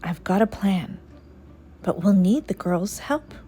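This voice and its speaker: A woman's voice: medium pitch, lively intonation, takes it slowly, warm tone, slight background noise. A woman voicing a brainy, curious schoolboy camper in a children's story, talking in an earnest, scheming way.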